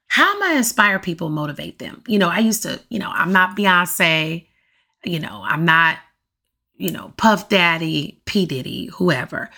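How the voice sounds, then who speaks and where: A middle-aged woman hosting a podcast, delivering a motivational monologue with emphatic, repeated rhetorical questions and a voice that turns raspy at times. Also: on the low side, pushed and bright. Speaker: podcast host.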